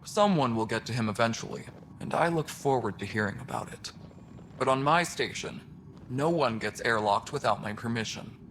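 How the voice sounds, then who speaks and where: Wry, mid-pitched station boss. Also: male voice, expressive, moderate pace, a bit bright, a little hiss or hum. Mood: wry, grim amusement. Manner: dry, casual, knowing. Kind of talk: video game voice acting.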